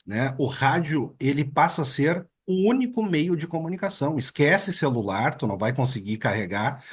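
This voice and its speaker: A man hosting an interview in a relaxed, chatty way, often tagging his sentences with "né". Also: fairly high pitch, normal speed, husky. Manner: relaxed, chatty, with many filler "né"s. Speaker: interviewer and host. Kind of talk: casual interview conversation.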